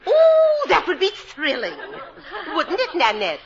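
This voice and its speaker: A woman's voice: very high voice, huge pitch swings, takes it slowly, full character voice. An actress in a 1940s radio musical playing a gushing, flighty woman who chaperones a young couple, with a theatrical, fluttery delivery. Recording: dull lo-fi 1940s radio broadcast.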